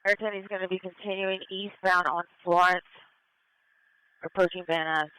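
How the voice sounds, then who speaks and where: A male police air observer gives terse pursuit commentary over the radio. His voice is bright and clear, with a dry sound, calling out directions in short bursts. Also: high-pitched, fairly even intonation, normal speed, dry recording, rough recording. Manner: terse, running call-outs of directions. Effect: police radio transmission.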